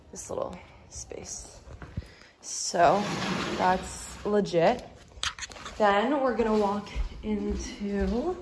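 A woman's voice: medium pitch, a little hiss or hum. A young woman vlogger chatting casually while giving a walkthrough tour of an empty house. She films on a phone held at arm's length and talks in a bubbly way, full of "like". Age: young adult. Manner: chatty, bubbly, heavy use of "like".

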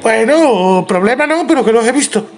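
Man putting on a very high, fussy neighbour voice. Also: expressive. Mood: offended. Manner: halting, repetitive, stilted formality. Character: prissy, indignant, fussy neighbour complaining by phone. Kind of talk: improvised prank phone call.

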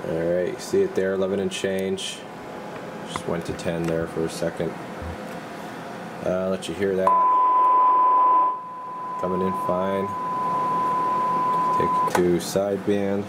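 A man calmly demonstrating and testing a radio in his workshop, talking in a relaxed, halting way as he works. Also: low voice, very noisy. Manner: relaxed, halting, talks while working. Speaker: radio repair technician.

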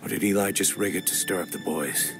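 An actor playing a brooding, suspicious military man in a game, musing in a hushed, ominous way. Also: low voice, a little fast, music in the background, lightly acted.

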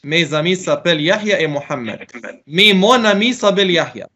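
A man's voice: sits high, lively intonation, normal speed, sharp tone. A middle-aged man teaching a French class over a video call, calling on students and patiently correcting their answers. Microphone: laptop mic on a video call.

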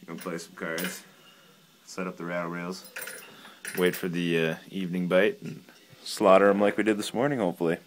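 A man's voice: deep voice, level tone, normal speed, long pauses, slight background noise. A young man filming friends in a small ice fishing shack, joking and laughing through casual banter. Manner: joking, laughs often, wry asides.

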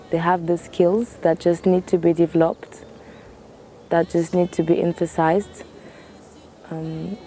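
Slow, thoughtful young woman. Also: lowish voice, warm tone, a little hiss or hum. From France. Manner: thoughtful, searching for words. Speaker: interviewee. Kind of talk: reflective personal testimony. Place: outdoors in a canoe on a lake.

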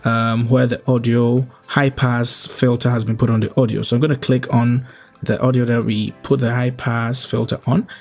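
A man's voice: mid-range voice, little pitch movement, normal speed, vocal fry, darkish tone. Young man teaching, music behind him. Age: young adult. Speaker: host of a video editing tutorial. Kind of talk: explanatory tutorial narration. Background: background music.

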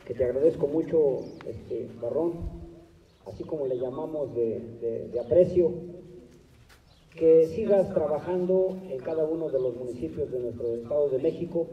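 A man's voice: a little high, normal speed. Man making a big outdoor speech, heard from far off, voice bouncing back off walls, kinda dull sound. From Mexico. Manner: oratorical, rising to emphatic declarations. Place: large open courtyard of a hacienda, outdoors. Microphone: far camera, no close mic. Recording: echoey distant outdoor phone video.

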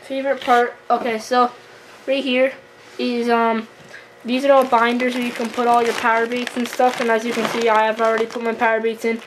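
A young woman from Canada giving a casual, halting gear tour as a fishing vlogger, recorded at home on a camera microphone. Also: fairly high pitch, little pitch movement. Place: room at home with wooden floor. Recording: noisy amateur camera recording, handling clicks.

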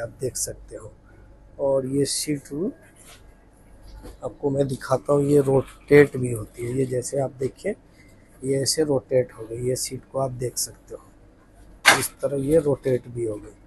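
A chatty man in his thirties walks viewers through a train, talking to them as friends with a slightly higher voice. His phone mic, held at arm's length, picks up a faint hum of station and carriage sound. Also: low-quality recording. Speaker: travel vlogger. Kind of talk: casual travel vlog tour. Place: train platform and train coach interior.

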